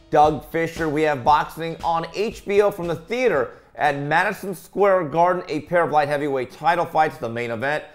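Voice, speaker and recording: A man hosting a sports panel, talking casually and conversationally like someone telling stories among colleagues. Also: a little high. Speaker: host of a boxing talk show.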